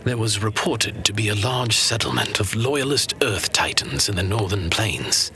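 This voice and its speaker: A man playing a wise old master who tells legends to a pupil in a solemn, measured, hushed fantasy storytelling style. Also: lowish voice, slight background noise. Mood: solemn, reverent.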